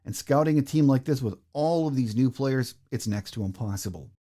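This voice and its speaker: Mellow American man hosting a sports podcast. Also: mid-range voice, animated, a little fast. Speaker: podcast host. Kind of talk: sports podcast hosting, game preview notes.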